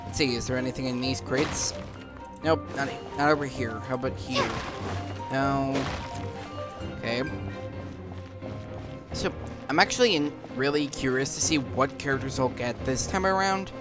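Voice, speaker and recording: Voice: mid-range voice, moderate pace, pauses often. A gaming video host giving casual, informal, explanatory commentary while playing. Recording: game music behind the voice. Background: video game music.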